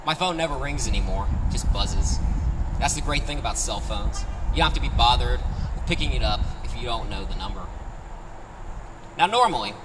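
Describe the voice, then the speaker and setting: A man's voice: mid-range voice, expressive, brisk. A man reading his own short story aloud in a conversational, first-person style at a live event. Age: 30s. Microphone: handheld microphone, picked up from afar. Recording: distant, reverberant live recording with street noise.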